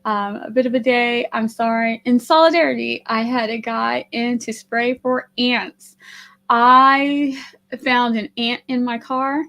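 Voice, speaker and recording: A woman losing her voice, hosting a casual stream from home, talking softly and laughing often. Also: a little high, steady tone, a little slow. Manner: soft-spoken, laughs often. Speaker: livestream host. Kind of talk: casual chat on a writing stream.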